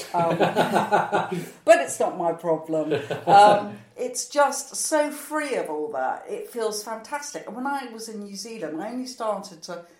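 A woman's voice: fairly low pitch, darkish tone, roomy sound. A woman in her sixties, a stand-up comedian, answering interview questions in a chatty, rambling, self-mocking way with frequent laughs. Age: 60s.

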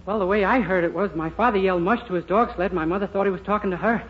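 A man's voice: sits high, rapid-fire, natural voice. An actor playing a cheeky, naive young man in court in a 1940s radio comedy, giving flippant answers. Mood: cheeky deadpan. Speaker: comic actor in a radio sitcom. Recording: muffled, noisy 1940s radio recording, studio audience.

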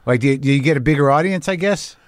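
A man in his late fifties from New Mexico, hosting a podcast, asking eager questions with lots of quick affirmations. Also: fairly high pitch, no echo, clean studio sound. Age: 50s. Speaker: podcast host. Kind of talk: casual interview chat.

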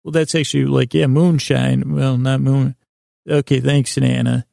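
Very creaky-voiced man, rambling bedtime podcaster. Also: mid-range voice, flat delivery, dark tone, no echo, studio quality. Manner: meandering, halting, self-interrupting, repeats words. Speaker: podcast host. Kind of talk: rambling bedtime monologue to lull listeners to sleep.

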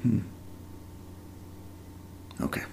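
A man's voice: warm tone, slight background noise. A man playing an uneasy homeowner in a found-footage horror audio drama, talking casually and hesitantly as if keeping a spoken diary. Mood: wary, puzzled. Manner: hesitant, halting, casual muttering to listeners. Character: uneasy man documenting his new house, casual, nervous.

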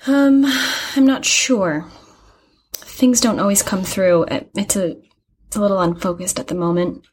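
A woman's voice: mid-range voice, unhurried, darkish tone, breathy edge. A young woman playing an earnest, chatty art student in an audio drama, rambling and hesitant as she explains herself. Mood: hesitant, concerned. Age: young adult. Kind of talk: naturalistic audio drama acting.

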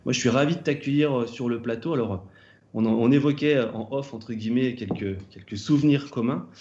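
A man's voice: mid-range voice, fairly quick, a little mellow, dull audio, a little hiss or hum. A man hosting a podcast interview, welcoming his guest with many hesitant fillers. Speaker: podcast host.

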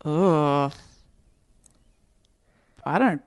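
A woman's voice: low voice, very slow. A young woman co-hosting a podcast in casual chat, dry and teasing, with hesitant fillers. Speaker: podcast co-host.

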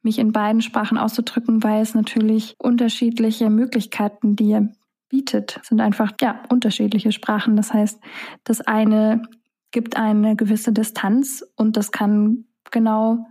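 A woman's voice: on the high side, level tone, measured pace, warm tone, breathy edge. A young woman, a singer-songwriter whose first language is German, talking casually in an interview, musing with many fillers.

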